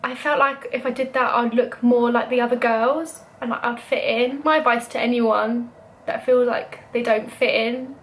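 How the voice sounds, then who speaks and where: A woman's voice: on the high side, fairly even intonation, normal speed, rough recording. A young British woman vlogging, talking casually and openly with many "like" fillers. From Britain. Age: young adult. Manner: chatty, lots of "like" fillers. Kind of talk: casual chat.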